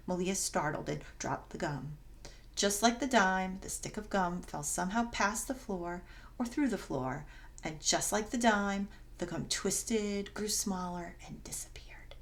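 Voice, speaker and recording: A woman reading a children's story aloud as narrator, in an expressive, dramatic and emphatic way. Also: medium pitch. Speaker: story reader.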